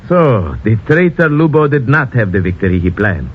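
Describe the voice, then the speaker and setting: A man's voice: medium pitch, very animated, normal speed, natural voice. A man playing an earnest, anxious resistance ally in old-time radio style, speaking with formal, careful phrasing. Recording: muffled, hissy old radio recording.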